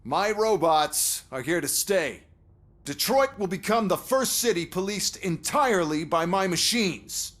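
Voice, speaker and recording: Casting: arrogant company man for a game, fairly high voice, sarcastic and condescending. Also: animated, a little slow, fairly bright tone. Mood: smug, dismissive. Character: smug, scornful corporate robotics executive, man. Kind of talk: video game cutscene acting.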